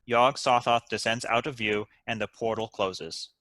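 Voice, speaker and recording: A man's voice: mid-range voice, fairly even intonation, normal speed, a bit bright, no echo. A man giving scripted narration, reading out the stage directions of a screenplay.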